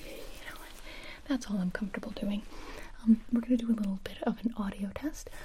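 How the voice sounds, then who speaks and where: A woman voicing a blunt, insulting female ENT doctor in a comedic ASMR roleplay, whispering in a deadpan way up close to the mic. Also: mid-range voice, fairly quick, noisy background, lightly acted. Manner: deadpan, insulting bedside manner.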